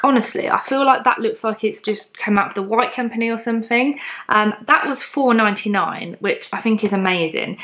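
A woman's voice: medium pitch, a bit bright. Upbeat woman in her thirties, casual chatty haul vlogger talking straight to camera from her living room. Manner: chatty, upbeat, lots of ums, shows each item to camera. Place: bright home living room, indoors.

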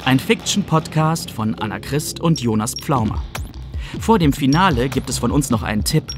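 A man's voice: mid-range voice, lively intonation, normal speed. A man reading a scripted promo as a podcast announcer. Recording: music bed under studio voice-over. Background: music.

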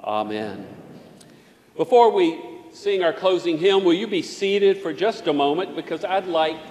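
A man, a pastor, leading a measured, solemn prayer and welcoming new church members. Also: high-pitched, slight background noise. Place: large church sanctuary. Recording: echoey sanctuary with long reverb.

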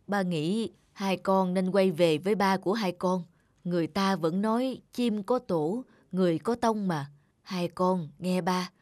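A woman voicing a kind, self-sacrificing middle-aged foster father in a cartoon, speaking gently and earnestly. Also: fairly low pitch, no echo, studio quality, close to an everyday voice. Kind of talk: scripted cartoon dubbing.

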